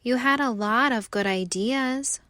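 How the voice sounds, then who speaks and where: A woman's voice: a little high, unhurried, a bit bright, dry recording. A woman voicing an encouraging, helpful little leaf in a children's storybook, eager and supportive.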